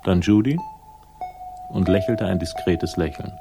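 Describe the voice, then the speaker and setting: An actor playing a wry, world-weary detective, narrating a radio crime drama in a dry, sardonic manner. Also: male voice, deep voice, measured pace, loud music behind the voice.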